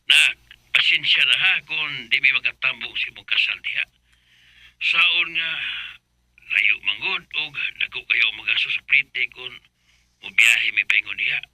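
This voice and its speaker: A man's voice: mid-range voice, a little slow, pushed and bright, dry recording, low-quality recording. A man voicing a humble, apologetic father in a radio drama.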